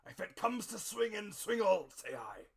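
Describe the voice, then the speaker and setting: A man voicing a hard-drinking, violent old buccaneer captain in a storybook reading, gruff and defiant, with bellowed blunt exclamations. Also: high-pitched, very animated, a little slow, fairly bright tone, voice pushed up, exaggerated voice. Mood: furious.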